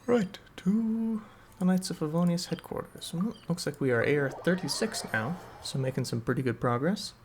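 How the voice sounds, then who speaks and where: A man's voice: a little high, moderate pace, a little hiss or hum. A man giving casual live gameplay commentary as a streamer. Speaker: game streamer.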